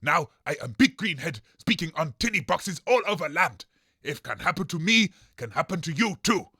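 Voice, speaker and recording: Big dumb green monster head for a comedy show, rude broken english, super gravelly and raspy. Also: male voice, on the high side, very animated, normal speed, pushed and bright, dry recording, clean studio sound, exaggerated voice. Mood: pompous, blunt. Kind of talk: audio comedy character acting.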